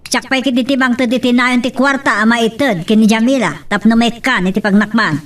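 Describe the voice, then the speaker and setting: A woman's voice: fairly high pitch, fairly even intonation, fairly quick, recorded from a distance, natural voice. A woman with an Ilocano accent playing a scornful, meddling mother in an audio family melodrama. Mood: bitter, scheming.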